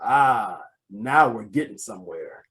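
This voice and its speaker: A man playing a brusque, exasperated, wisecracking police detective in an old-time radio comedy mystery, gruff and sardonic. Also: lowish voice, lively intonation, a little slow, a bit bright. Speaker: voice actor.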